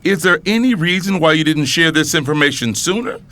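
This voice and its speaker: A man's voice: fairly high pitch, animated, brisk, pushed and bright. A man playing a stern, domineering middle-aged father, a powerful politician, speaking coldly and formally in an audio drama. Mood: disapproving. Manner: cold, imperious, formal.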